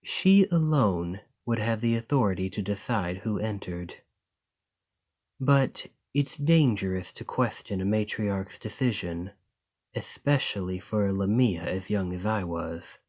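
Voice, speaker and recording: A gracious lamia noble merchant, played by a man, talking softly and formally to his servant. His voice sits low and he takes his time, unhurried and calm. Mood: reminiscing. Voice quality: soft, measured and formal. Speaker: boss speaking to the listener. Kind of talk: ASMR roleplay.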